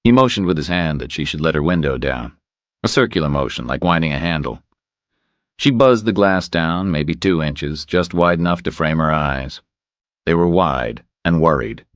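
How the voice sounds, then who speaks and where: A man narrates a thriller audiobook in an extremely low voice. His intonation moves in a lively way, and the sound is dry and close, with no room around it. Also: normal speed, no room sound.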